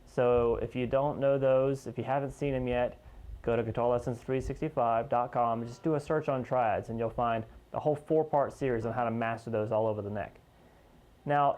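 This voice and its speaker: A man with a medium-pitched voice gives an instructional guitar lesson. He talks informally and explains things step by step, pausing to point out notes. Some guitar sound sits around his speech. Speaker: guitar teacher.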